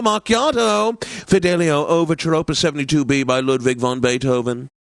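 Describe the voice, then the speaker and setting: A man's voice: a little high, dry recording, studio quality, natural voice. Man playing a pompous, snobbish classical radio DJ. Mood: grandly rapturous. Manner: pompous, affected, precise enunciation, savoring words. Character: pompous, snobbish, wealthy male classical radio DJ, effete and self-satisfied. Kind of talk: theatrical radio announcing, game voice acting.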